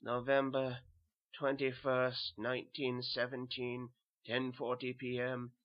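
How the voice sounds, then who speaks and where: Through a dull, lo-fi recording comes a dazed German soldier turned butcher's helper , acted by a man. He has a middling pitch and a put-on German accent. He tells his horror story in a halting, haunted, brooding way, repeating his words. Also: monotone, natural voice. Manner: halting, repetitive, brooding first-person delivery. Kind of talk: dramatic horror story reading.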